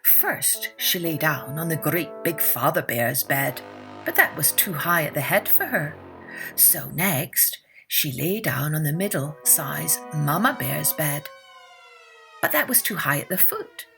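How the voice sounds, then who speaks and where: A woman's voice: low voice, lively intonation, unhurried, vocal fry, over music. A woman narrating a children's fairytale as a podcast storyteller, expressively and with dramatic emphasis.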